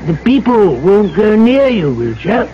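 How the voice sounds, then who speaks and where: An actor playing a duplicitous colonial trader in an old radio drama, clubby and falsely apologetic in a deliberate manner. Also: male voice, sits high, very animated. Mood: falsely regretful. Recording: muffled old radio broadcast, faint noise.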